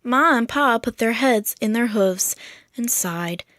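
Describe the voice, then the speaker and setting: A woman reading a children's picture book aloud in an expressive, storytelling manner. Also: a little high, takes it slowly, no room sound, studio quality. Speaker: storybook reader.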